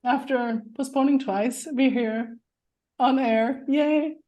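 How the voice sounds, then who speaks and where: A woman's voice: high voice, fairly even intonation, lo-fi sound. A woman hosting a podcast in casual interview chat, upbeat and laughing often. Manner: upbeat, laughs often, slow careful intro. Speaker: podcast host.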